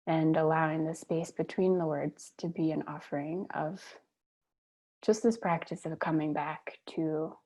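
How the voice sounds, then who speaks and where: A woman leading a guided meditation on a video call, speaking gently and unhurriedly with long pauses. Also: sits low.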